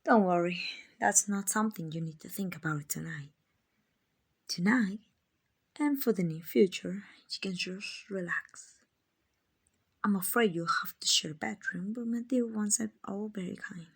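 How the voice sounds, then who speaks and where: A woman playing a kind, doting vampire owner in an ASMR roleplay, soft and often whispering, gently coaxing and reassuring. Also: medium pitch, measured pace, creaky, husky. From Mexico. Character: kind, doting, genteel female vampire owner, soothing and possessive.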